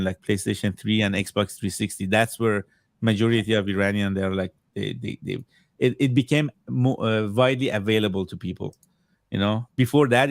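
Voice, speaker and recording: Male guest, Farsi first language, halting casual speech, gravelly tone, dry close sound. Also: fairly low pitch, dry recording. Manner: halting, many fillers and restarts. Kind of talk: casual video-call chat. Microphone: video call.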